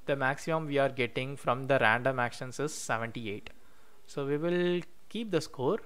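Male tutorial host with a smooth, clear mid-pitched voice, narrating code at a desk with typing behind. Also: fairly bright tone. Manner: explains step by step while typing code, many "uh" pauses. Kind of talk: screen-recorded coding walkthrough. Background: keyboard typing.

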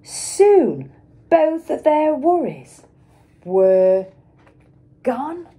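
A middle-aged woman reads a children's picture book aloud like a teacher, with dramatic emphasis and pauses for young listeners. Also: high voice, sing-song, warm tone. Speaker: schoolteacher reading aloud.

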